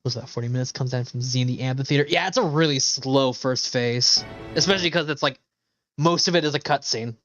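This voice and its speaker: An enthusiastic man gives casual, sweary commentary as he streams a game. He talks at an average pitch. Loud game music plays behind his voice. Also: fairly quick, muffled recording. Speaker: livestreamer reacting to a video game's cutscenes. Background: video game cutscene music and dialogue.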